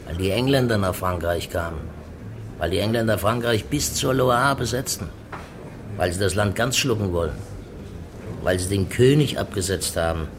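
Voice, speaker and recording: An actor playing a shrewd onlooker at a trial, making wry, knowing remarks. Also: male voice, on the low side, expressive, normal speed, background noise.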